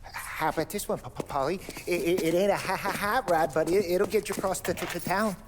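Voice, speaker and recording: A male actor voices a good-natured working mechanic for a game cutscene, with a heavy stutter that snags on short words. The sound has long reverb and clear noise behind it. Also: sits high, a little fast, natural voice. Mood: hesitant, eager. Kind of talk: video game cutscene acting.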